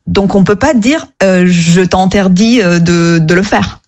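A woman's voice: lowish voice, measured pace, darkish tone. A woman whose first language is not French, answering questions as a radio guest, earnest and hesitant with frequent restarts. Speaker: app co-founder, radio guest.

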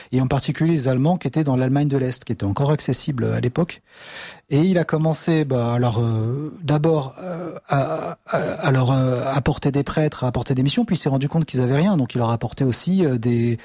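A man's voice: medium pitch, creaky, mellow, no echo, clean studio sound. A man, answering interview questions about his charity in a hesitant way, with many fillers and restarts. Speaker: charity representative.

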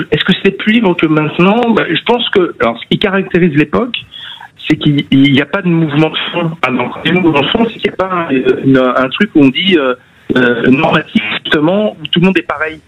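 A man's voice: a little high, lively intonation, fairly quick. Hesitant man in his fifties calling in on a dull phone line. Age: 50s. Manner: hesitant, many restarts and fillers. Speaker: radio caller. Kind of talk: call-in chat.

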